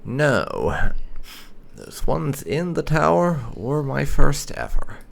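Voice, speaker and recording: A man giving casual, chatty let's play commentary on a game, musing with hesitant pauses. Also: on the high side, very animated, gravelly, slight background noise. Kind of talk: casual gameplay commentary, reading dialogue choices aloud.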